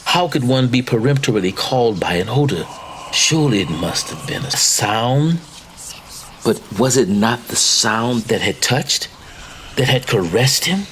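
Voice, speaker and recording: A man reading a macabre literary tale as dramatic narration, with theatrical, deliberate phrasing. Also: medium pitch, lively intonation, fairly bright tone. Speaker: story reader. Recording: faint background noise and ambience.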